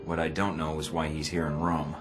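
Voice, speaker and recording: A man's voice: rumbling low, level tone, brisk. An actor playing a terse, dry-witted, guarded male spy operative in a video game drama, with sardonic control. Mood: firm, persuasive. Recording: music playing behind the voice.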